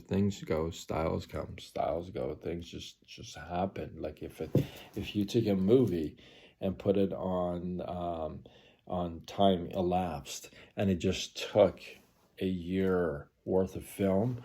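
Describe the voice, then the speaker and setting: A man in his forties talks slowly in a very deep, mellow voice, hosting his own late-night live stream. He rambles, circles back and repeats himself, with plenty of ums and likes. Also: creaky, husky. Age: 40s. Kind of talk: late-night casual monologue.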